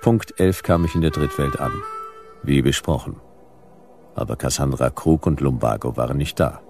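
An actor playing a world-weary, wisecracking private detective, narrating a radio drama in a dry, laconic, ironic way. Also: male voice, extremely low pitch, moderate pace, over music. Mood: dry, wry. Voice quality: gravelly.

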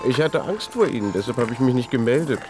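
Man playing a brash flirtatious charmer, animated voice. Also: medium pitch, fast, lightly acted. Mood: teasing. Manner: teasing, insistent, theatrical seductive delivery. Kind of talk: German radio drama acting. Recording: music behind the voice.

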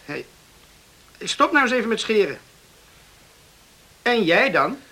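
An actor playing an eager, stubborn young farm lad in a radio drama. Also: male voice, sits high, sing-song, slow, pushed and bright, heavily acted. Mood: puzzled, stammering. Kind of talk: Dutch radio drama acting. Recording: noisy old radio play recording.

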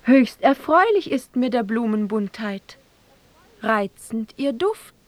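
An actress playing a dainty, delighted young princess in a rhymed fairy-tale drama, speaking formally. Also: female voice, a little high, lively intonation, slow pace, no echo.

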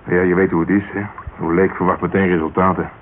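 An actor playing a wry, cynical police detective in a radio drama, speaking colloquial in an offhand way. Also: male voice, low voice, little pitch movement, quick pace, natural voice. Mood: weary, sardonic. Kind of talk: Dutch radio drama dialogue. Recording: dull, hissy old radio recording.

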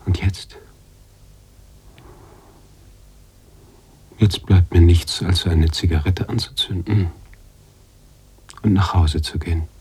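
An actor playing an anguished, philosophical writer-narrator in a literary radio drama, halting and searching, slipping at times toward a whisper. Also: male voice, sits low, fairly even intonation, darkish tone, husky, exaggerated voice. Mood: grieving, resigned. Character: brooding male writer-narrator, anguished, philosophical, mourning his heroine.

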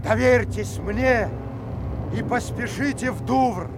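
An earnest, high-voiced loyal nobleman. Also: takes it slowly, noisy background, heavily acted. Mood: confiding, earnest. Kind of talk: theatrical audio drama verse.

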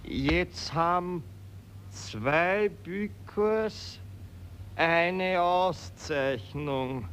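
An actor playing a dim, doddering archduke with an Austrian accent, halting and vacant, in a 1940s radio play. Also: male voice, high voice, a bit bright, full character voice. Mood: vacant, childlike wonder. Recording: faint hiss, old 1940s radio recording.

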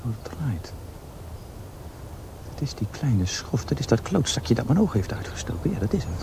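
Nasty vengeful gendarme in a radio play, voice jumps all over, noisy background. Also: male voice, medium pitch, very animated, moderate pace. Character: vengeful one-eyed gendarme, coarse and menacing. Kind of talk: radio drama acting.

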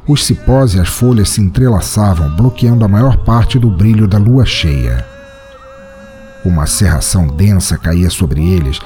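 Brazilian male podcast host and horror reader: low, mellow, animated delivery, faint music underneath. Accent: Brazilian Portuguese. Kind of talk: podcast announcements and scripted horror story narration.

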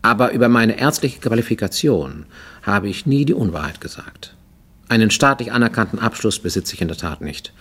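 Husky, haunted fugitive. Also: male voice, lowish voice, expressive, a little fast, a bit bright. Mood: defensive, weary. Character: haunted Japanese man on the run, brooding, intense. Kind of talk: radio play monologue, confessing to a professor.

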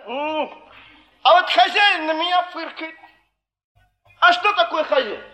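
Rowdy drunkard, very high voice. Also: male voice. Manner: shouting, drawn-out, boastful. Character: rowdy young male drunkard, defiant and clowning. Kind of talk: theatrical stage acting. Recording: echoey theatre stage recording.

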